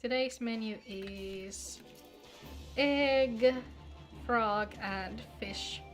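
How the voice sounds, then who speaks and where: High-voiced woman chatting on a game stream. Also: drawn-out words, soft background music. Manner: informal, swearing, playful. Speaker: live streamer playing an online game. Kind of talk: casual gaming livestream chat.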